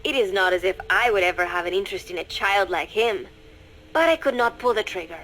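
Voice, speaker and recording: An actress playing a young female spy in a video game monologue, coldly resolved yet fragile, speaking in measured, deliberate phrases. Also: mid-range voice, expressive, fairly bright tone, slight background noise, close to an everyday voice, rough recording. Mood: tense, confessional. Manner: measured, deliberate, introspective confession.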